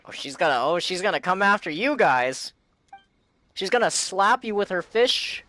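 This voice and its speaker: A man's voice: high voice, expressive, moderate pace, pushed and bright. A man reacting casually to a game as a streamer, chatting in an informal way.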